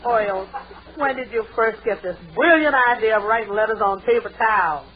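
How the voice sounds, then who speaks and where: An actress playing a sensible, folksy, long-suffering housemaid in a vintage radio comedy. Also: female voice, a little high, fairly quick, natural voice. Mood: exasperated. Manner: folksy, wry, exasperated asides. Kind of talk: 1940s-50s radio sitcom acting. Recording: dull old radio broadcast recording.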